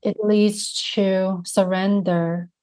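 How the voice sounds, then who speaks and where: A woman with a Mandarin accent, a native Mandarin speaker, talking slowly and thoughtfully as a guest in an interview. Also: mid-range voice, little pitch movement, mellow, low-quality recording. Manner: slow, thoughtful, searching for words. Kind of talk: reflective interview conversation.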